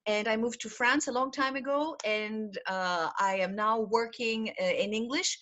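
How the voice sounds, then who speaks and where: A woman's voice: fairly high pitch, a little slow, fairly bright tone, muffled recording. A middle-aged woman from Greece, a program director, presenting an online information session from home over a video call. She talks in a chatty way, with many fillers and laughs.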